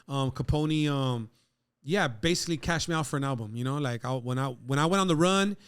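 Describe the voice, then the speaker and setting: A man's voice: medium pitch, a little fast, clear, resonant tone. A middle-aged man talking casually as an interview guest, streetwise and profane, often adding "you know what I'm saying". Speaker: guest rapper.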